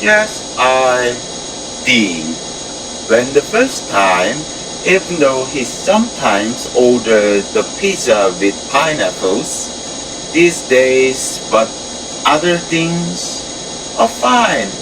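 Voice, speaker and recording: An actor playing an enthusiastic research team leader in an amateur audio drama, speaking halting, stilted English. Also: medium pitch, very animated, background noise. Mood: chatty. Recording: music under the voice.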